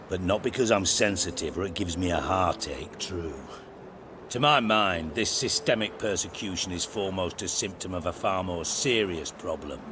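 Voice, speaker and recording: A man's voice: low-pitched, background noise. A man playing a cynical, crude-tongued older spymaster in a video game cutscene, blunt and theatrical in delivery.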